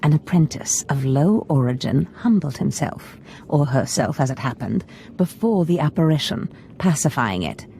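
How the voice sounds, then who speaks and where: Deep-voiced sith lady from a video game, scheming and imperious, soft dark tone, bit of background noise. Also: lively intonation, normal speed, gravelly. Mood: solemn, ominous. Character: scheming, ambitious female Sith lord mentoring her apprentice. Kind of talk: scripted video game dialogue.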